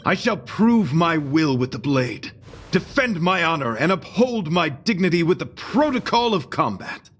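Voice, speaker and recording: A man's voice: fairly high pitch, sing-song, a little slow. A man playing an earnest, courtly knight devoted to beauty in an English game dub, speaking in a formal, florid, theatrical and solemn way. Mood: proud resolve. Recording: music behind.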